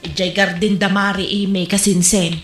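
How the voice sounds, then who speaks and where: A woman's voice: mid-range voice, little pitch movement, moderate pace, roomy sound, soft background music, lightly acted. A woman playing a plain-spoken married village woman who frets about money in a comedy radio drama. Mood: resigned.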